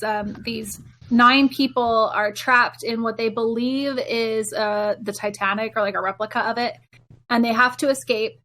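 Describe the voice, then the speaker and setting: Female podcast panelist, high voice, informal and gushing, talking games. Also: a bit bright. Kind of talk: casual chat about video games.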